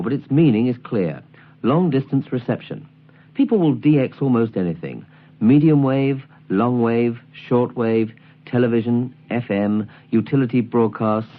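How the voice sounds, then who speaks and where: A man reading scripted instructional narration in a measured, precisely enunciated way, as the presenter of a tutorial album. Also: mid-range voice. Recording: tinny, band-limited 1979 album recording.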